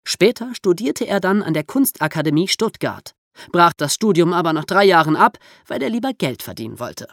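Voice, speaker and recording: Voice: mid-range voice, very animated, normal speed, a bit bright, dry recording, clean studio sound. A podcast host narrating from a script in an explanatory storytelling way, with conversational asides.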